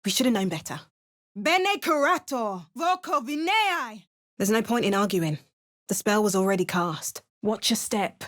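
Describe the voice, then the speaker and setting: A wry adventuring spellcaster. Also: female voice, mid-range voice, expressive, unhurried, fairly bright tone. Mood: incanting. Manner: crisp spell incantations, wry asides. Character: Tav, adventuring spellcaster woman, dry and wry. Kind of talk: video game voice lines.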